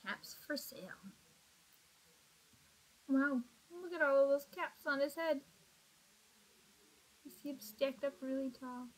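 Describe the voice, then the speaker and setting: A woman in her thirties reading a children's picture book aloud in an expressive, deliberate manner, recorded indoors at arm's length from a computer mic. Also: high-pitched, rough recording. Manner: expressive, deliberate, asks the young listeners questions. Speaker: storybook reader. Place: small indoor room with block walls. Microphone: webcam or laptop at arm's length.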